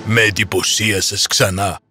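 An actor playing a proud old Sultan, regal and pompous, in an animated fairy tale. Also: male voice, on the low side, music in the background, lightly acted. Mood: impressed, demanding.

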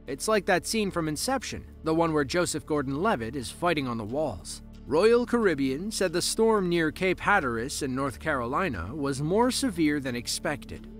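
A man narrating a scripted documentary in a lively storytelling way with dry asides. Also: fairly high pitch. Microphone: voice-over, studio mic. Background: soft background music.

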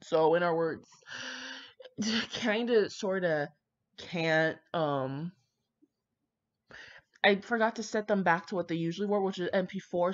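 A woman's voice: low voice, muffled recording. A young woman chatting casually and rambling to her viewers in an irreverent, foul-mouthed, self-mocking manner. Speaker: host of a fan fiction reading channel.

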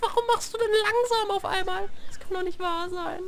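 A young man with a cold, chatting through gameplay as a let's play host, with sudden shouted outbursts, recorded on a sofa at home. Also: very high-pitched, moderate pace. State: has a cold, clears throat. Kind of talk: gameplay commentary. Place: living room at home, on a sofa. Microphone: desk mic propped on games on the sofa. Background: faint game music.

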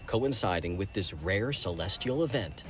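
A man in his fifties, a TV news reporter, giving a live report and narrating in an earnest, lively way. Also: deep voice, moderate pace, over music. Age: 50s. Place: outdoors on a large open city lawn.

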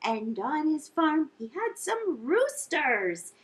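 A middle-aged woman with a high voice hosts a children's storytime. She is animated and encouraging, moving between singsong verses and simple directions for little listeners. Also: slow. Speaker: children's library storytime host. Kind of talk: sing-along storytime for young children. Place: indoor lounge with couch and fireplace.

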